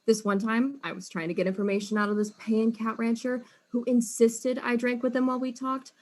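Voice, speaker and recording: An actress playing a wry, weary detective in an audio drama, speaking casually. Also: female voice, a little high, level tone, a little fast, a little mellow, natural voice. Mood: exasperated.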